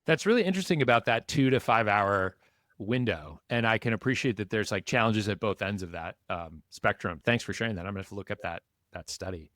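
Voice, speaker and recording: Low-voiced male podcast host, dry sound. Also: lively intonation, brisk, fairly bright tone, no room sound. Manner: curious, affirming, asks long questions. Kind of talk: conversational interviewing.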